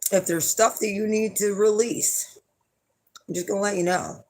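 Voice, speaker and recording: A hoarse middle-aged woman chatting casually to her viewers as an astrology reader, rambling and blunt with lots of fillers. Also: mid-range voice, fairly even intonation, moderate pace. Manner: rambling and blunt, with frequent fillers and some swearing. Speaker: astrology reader and channel host.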